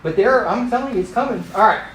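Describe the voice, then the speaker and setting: A man in his fifties, raised in Thailand, leads a church class with a fairly high voice and plenty of teasing humor. He is recorded in an echoey room, with faint background noise behind him. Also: fast. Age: 50s. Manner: animated and joking, teasing the class.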